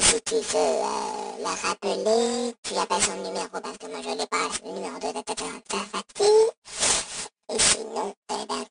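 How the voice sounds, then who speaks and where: A woman's voice: mid-range voice, vocal fry, exaggerated voice. A woman playing a cheeky, insulting robot voicemail service in an improvised comic skit, rambling and mock-official through a robot voice effect. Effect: robot voice modulator. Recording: distant, noisy phone app recording.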